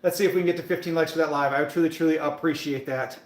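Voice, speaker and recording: Livestream fitness host, man in his thirties, slightly high voice, loose hyped chat, roomy echo. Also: fairly even intonation, rapid-fire. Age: 30s. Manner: chatty, hyped, talks to viewers in the chat. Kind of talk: casual live-stream chat while working out.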